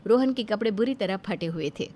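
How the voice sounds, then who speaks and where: A woman narrating a cartoon bedtime story in an even, storytelling delivery. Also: medium pitch, a little fast.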